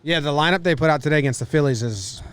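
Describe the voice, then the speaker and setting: Higher-voiced man bantering casually about sports. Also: quick pace, smooth, no room sound. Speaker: podcast co-host.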